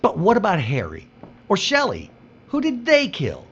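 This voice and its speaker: A woman plays a bewildered female prisoner in an audio drama. Her speech is halting, indignant and disbelieving, with pitch that leaps about. The sound is slightly dull. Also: wildly swooping pitch, slightly rough. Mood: distressed. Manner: halting, stammering, incredulous.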